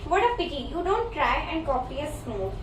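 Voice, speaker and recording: A woman's voice: high voice, very animated, a little fast. A young woman student playing a brash, boastful film star in an amateur reader's theatre piece. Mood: brash, bargaining. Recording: echoey room, distant mic, clear noise.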